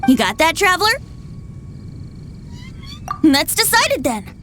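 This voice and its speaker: An actress playing a confident, bossy video game character who gives emphatic instructions. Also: female voice, very high-pitched, big pitch swings, slow pace, a bit bright, exaggerated voice. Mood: assured, instructive. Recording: music playing behind the voice.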